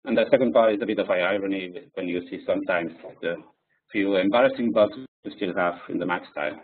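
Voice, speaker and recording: Man with a French-Spanish accent, non-native English, lowish voice, presenter, distant tinny line. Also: fast, husky. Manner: hesitant, with many fillers. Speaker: software engineer presenter. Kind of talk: live coding webinar. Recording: distant tinny phone-like audio.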